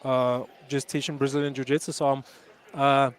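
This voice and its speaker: A man's voice: mid-range voice, little pitch movement, normal speed, clear, resonant tone. A man in his thirties, a jiu-jitsu coach, answering interview questions earnestly and reflectively, with frequent restarts. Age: 30s.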